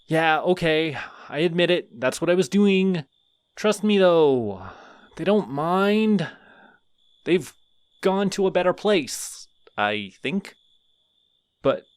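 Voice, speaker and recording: A man's voice: a little high, no room sound, heavily acted. A man voicing a lonely, friendly skeleton who is centuries old, in an audio roleplay. He talks in a rambling, earnest, slightly awkward way. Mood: earnest, sheepish.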